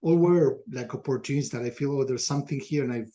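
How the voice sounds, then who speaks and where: A man's voice: medium pitch, fast talker, warm tone. A middle-aged man from Argentina with an Argentine Spanish accent, answering reflectively as a podcast guest in a conversational way. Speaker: podcast guest, culture consultant.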